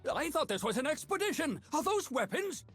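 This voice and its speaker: A man voicing an eager, clueless little yordle scout in a video game, hesitant and bumbling, with a husky voice. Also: very high-pitched, sing-song, fairly quick, a bit bright, no echo, exaggerated voice. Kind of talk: video game character voice lines.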